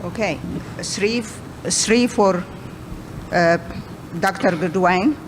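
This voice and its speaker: A woman calling names and tallying votes in a slow, deliberate way. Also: fairly low pitch, noisy background. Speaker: meeting officer tallying votes. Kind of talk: reading a roll call.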